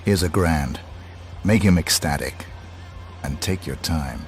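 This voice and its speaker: Game assassin, low voice, cold, deadpan, terse, faint music behind. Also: male voice, slow pace. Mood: cool, controlled. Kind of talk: video game voice acting.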